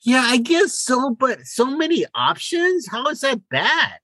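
A man's voice: very high voice, sing-song, unhurried, pushed and bright, no room sound. A middle-aged man co-hosting a podcast in a casual chat, inquisitively prompting with questions. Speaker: podcast co-host.

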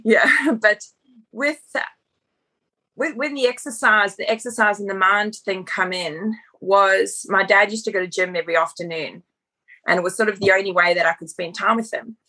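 A woman's voice: mid-range voice, steady tone, normal speed, sharp tone, husky, low-quality recording. A woman from South Africa, with an accent that mixes South African and Australian, chatting easily as a podcast guest and laughing at herself. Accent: mixed South African and Australian. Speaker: podcast guest, personal trainer. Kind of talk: casual interview chat.

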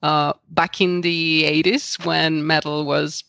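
Gravelly, slightly high-voiced man, hesitant. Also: little pitch movement, slow, sharp tone, dry recording. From Costa Rica. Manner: hesitant, many fillers and restarts, laughs often. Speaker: podcast guest. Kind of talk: casual interview chat.

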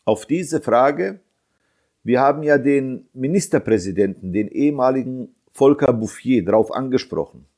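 A man in his fifties from Germany speaks in an interview with indignant force. His pitch sits in the middle of the range for a man. He hammers his points home by repeating them and throwing out sharp rhetorical questions. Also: expressive, normal speed. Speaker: interviewee, bereaved father. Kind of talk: impassioned interview testimony.

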